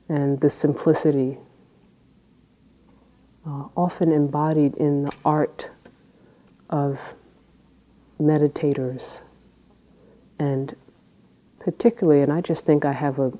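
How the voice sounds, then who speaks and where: A woman Zen teacher with a low voice, giving an unhurried dharma talk with halting phrases and long pauses. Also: mellow, muffled recording.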